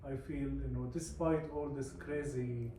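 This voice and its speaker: A Palestinian man in his forties with an Arabic accent, a native Arabic speaker, giving an informal personal talk in a halting way with repeated words. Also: medium pitch, steady tone, mellow. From Palestine, West Bank. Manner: halting, often repeats words and adds "you know". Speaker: speaker from a peace organisation. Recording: very echoey room, distant mic, faint noise.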